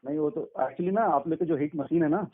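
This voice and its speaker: A man's voice: fairly high pitch, brisk. A man chatting casually on a phone call, informal, familiar and reassuring as he answers questions. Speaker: artist's manager, interviewee. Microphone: telephone. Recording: tinny phone call recording.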